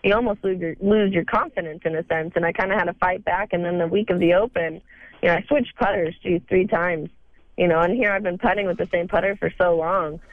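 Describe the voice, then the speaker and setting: A young woman with a cold, hoarse, answering interview questions haltingly with many fillers. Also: fairly low pitch, fairly even intonation, no room sound. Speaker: guest on phone line. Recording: tinny phone line.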